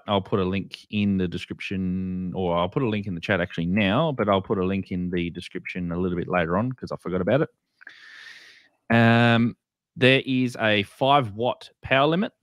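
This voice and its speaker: A man with an Australian accent hosting a casual explainer, speaking informally and haltingly, often repeating himself. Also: fairly low pitch, dry recording. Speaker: host. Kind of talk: casual explainer with screen share.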